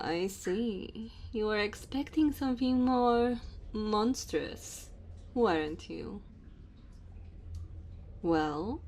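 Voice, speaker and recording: Slow, teasing dragon woman acted by a woman. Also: mid-range voice. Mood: playful, smug. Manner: slow, teasing, theatrical, addressing the listener. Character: dominant, teasing female dragon with horns and human looks. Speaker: ASMR voice performer. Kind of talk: ASMR roleplay acting. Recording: faint cave ambience noise. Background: cave and fire sound effects.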